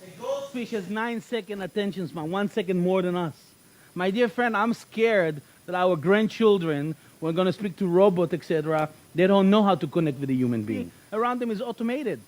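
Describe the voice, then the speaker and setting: Middle-aged Israeli-born speaker, high voice, punchy and repetitive, workshop presenter. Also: male voice, normal speed. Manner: animated, emphatic, repeats key phrases. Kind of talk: motivational workshop talk.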